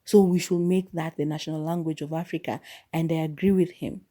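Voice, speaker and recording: A woman's voice: sits low, steady tone, fairly quick, dark tone. A young woman hosting a solo podcast, speaking her mind candidly and mocking what others say. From Kenya. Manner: opinionated, candid, mock-quotes people. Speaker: podcast host.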